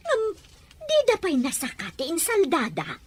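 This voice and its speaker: High, animated Ilocano village gossip played by a woman. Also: moderate pace, heavily acted. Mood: gossipy, insistent.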